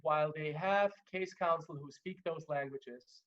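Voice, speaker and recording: Male lecturer, German native, slightly high voice, measured with fillers, muffled webinar sound. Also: a bit bright. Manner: measured, many fillers and restarts. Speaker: legal trainer. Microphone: video call.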